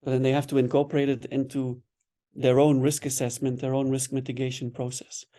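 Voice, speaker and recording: Man, lecturer on a video call, mellow tone, easygoing explanatory manner. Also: medium pitch, a little fast. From Belgium. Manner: relaxed, explanatory, frequent "right?" tags and repeated words. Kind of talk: online webinar lecture answering questions.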